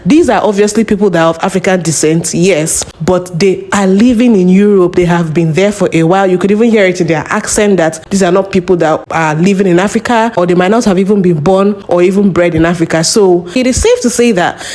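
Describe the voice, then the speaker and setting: Low-voiced woman hosting a commentary vlog. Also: a little mellow. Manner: deliberate, addresses viewers directly. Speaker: channel host.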